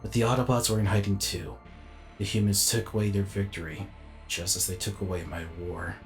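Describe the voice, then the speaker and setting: A man playing a weary, reflective alien robot warrior in a dramatic inner monologue, speaking deliberately and formally. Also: lowish voice, level tone, soft background music, close to an everyday voice. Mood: regretful, conflicted.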